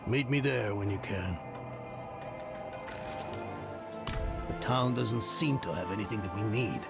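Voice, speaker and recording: An actor playing a burdened, grumbling old mystic in a dark fantasy game, with a gravelly voice and brooding, halting manner. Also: male voice, lowish voice. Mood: urgent. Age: older. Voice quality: gravelly, breathy at times. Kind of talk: dramatic video game dialogue. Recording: dramatic game music underneath.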